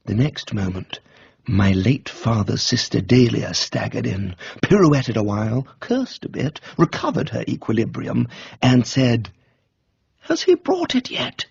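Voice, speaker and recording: A man's voice: medium pitch, expressive, measured pace, gravelly, darkish tone, breathy edge, dull audio. A man with an upper-class English accent giving a droll, theatrical comic narration for a radio book reading. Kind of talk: comic first-person audiobook narration for radio.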